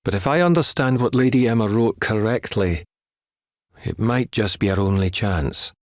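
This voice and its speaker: A man's voice: on the low side, no echo, studio quality, lightly acted. A man with a Scottish accent playing an earnest, weary wizard mentor in a video game cutscene. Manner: explanatory, measured, folksy.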